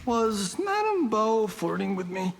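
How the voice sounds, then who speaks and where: A man's voice: high voice, big pitch swings, measured pace, creaky, long reverb tail, exaggerated voice. A man playing a vain, flippant action movie star who teases glibly in fighting game banter. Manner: glib, teasing. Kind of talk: video game character banter.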